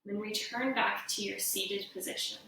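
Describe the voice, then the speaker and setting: A woman giving guided meditation instruction as a yoga teacher, in a gentle, unhurried, soothing way. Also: mid-range voice, fairly even intonation, gravelly. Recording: echoey room, distant mic, faint noise.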